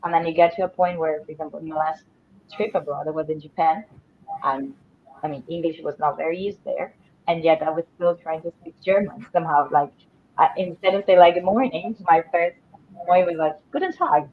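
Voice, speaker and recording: Low-voiced woman, muffled online audio. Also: fairly even intonation, fairly quick. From Italy. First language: Italian. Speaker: guest in a language conversation panel. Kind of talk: casual group chat. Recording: dull, band-limited online call audio.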